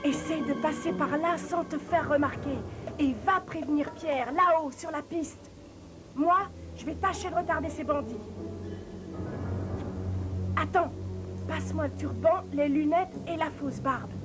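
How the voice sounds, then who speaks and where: An actress playing a plucky, resourceful young sleuth in a French cartoon dub, quick-witted and determined. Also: female voice, high-pitched, low-quality recording. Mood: urgent. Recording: music behind the voice.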